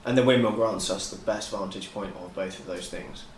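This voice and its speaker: A man's voice: lowish voice, fairly even intonation, a little fast. A man chatting casually and planning moves as a player in a tabletop game. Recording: echoey room, faint noise.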